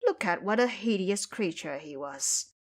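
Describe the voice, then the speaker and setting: A woman's voice: mid-range voice, animated, a little slow. A woman narrating a scripted fantasy tale as a dramatic storyteller.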